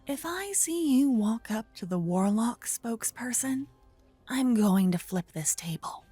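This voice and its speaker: A woman acting as a sweet young paladin adventurer in an ASMR fantasy roleplay. She speaks slowly in a soft, breathy voice, caring and playfully teasing, and gets flustered easily. The sound is close and dry, with music underneath. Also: fairly high pitch, animated, no echo. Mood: teasing, bashful.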